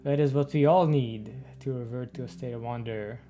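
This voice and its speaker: A man's voice: medium pitch, moderate pace. A man giving casual commentary as he plays through a game. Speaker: game streamer.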